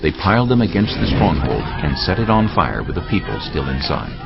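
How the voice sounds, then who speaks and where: Very deep, gravelly male Bible narrator. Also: very animated, fairly quick. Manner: solemn, storytelling. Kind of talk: scripted narration of a dramatised audio Bible. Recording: muffled, band-limited audio with music. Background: music bed.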